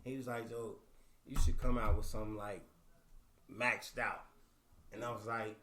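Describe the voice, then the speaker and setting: A man's voice: lowish voice, little pitch movement, normal speed, sharp tone. A man in casual chat, telling a laid-back story and quoting what others said to him. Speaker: guest rapper. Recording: echoey room.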